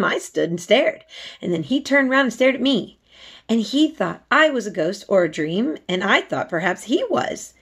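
A woman voicing a curious, matter-of-fact young girl in a storybook, plain-spoken and insistent. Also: medium pitch.

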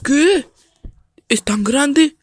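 A woman voicing a small, stubborn male creature taken as a pet, protesting and easily startled, with a husky voice. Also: high voice, lively intonation, extremely slow, no room sound, full character voice. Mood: amazed.

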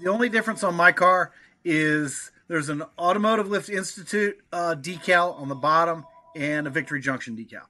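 Bright, higher-pitched middle-aged man. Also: a little fast. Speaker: podcast guest, racing fan. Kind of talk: casual chat.

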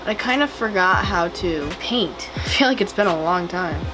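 Raspy, slightly sick young woman, chatty makeup vlogger, music underneath. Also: lowish voice, expressive, normal speed, rough recording. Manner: chatty, rambling, giggly asides. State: slightly hoarse from a cold. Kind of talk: casual makeup tutorial chat. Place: small home studio with paper backdrop.

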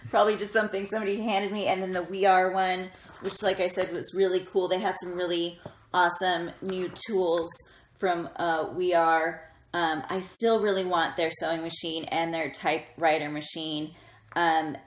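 A woman in her thirties chatting casually and excitably, with a self-deprecating, giggly manner, as a craft vlogger. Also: fairly low pitch. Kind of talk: casual chatty haul vlog. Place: indoors in a bedroom, sitting up in bed. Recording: roomy echo, faint noise, handling clicks.

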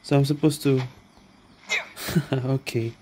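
A woman's voice: extremely low pitch, sing-song, takes it slowly, noisy background. A woman giving casual gameplay commentary, muttering in short fragments. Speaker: gamer. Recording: game music behind the voice.

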